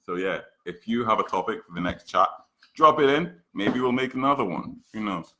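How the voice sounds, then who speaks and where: A man's voice: fairly low pitch, lively intonation, brisk, sharp tone. A man from Manchester co-hosting a casual livestream chat, joking and crude in a laid-back way. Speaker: co-host. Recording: echoey room.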